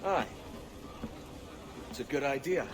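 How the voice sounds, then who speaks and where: A man's voice: mid-range voice, slow, lightly acted. A man playing a cocky, roguish young pirate captain in a video game, with a wry, swaggering manner. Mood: businesslike, curious. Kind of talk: video game cutscene acting.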